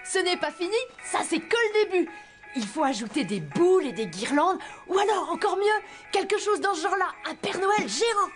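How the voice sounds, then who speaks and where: A very high, boyish cartoon voice, eager and stubborn, always pleading for more. Music plays clearly behind it. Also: female voice, very animated, normal speed. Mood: excited, insistent. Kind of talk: French-dubbed children's cartoon.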